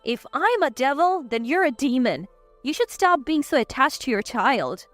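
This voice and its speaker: Woman playing a sharp-tongued, high-voiced defiant wife. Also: very animated, moderate pace, dry recording, soft background music. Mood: sarcastic. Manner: sarcastic, firm.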